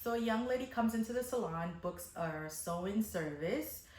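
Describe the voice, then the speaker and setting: A woman telling a casual, animated story to viewers, retelling events dramatically as a hairstylist. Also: medium pitch, normal speed, reverberant. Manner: dramatic retelling, acting out dialogue.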